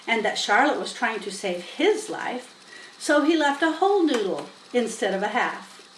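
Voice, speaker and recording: A woman narrating a children's story expressively as a storybook reader. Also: fairly high pitch. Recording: roomy, distant mic, faint noise.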